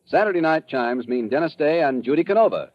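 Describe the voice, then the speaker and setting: Animated vintage male radio announcer. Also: a little high, brisk, noisy background. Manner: polished, promotional. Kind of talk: 1950s radio announcing, scripted. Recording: dull lo-fi old radio recording. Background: music.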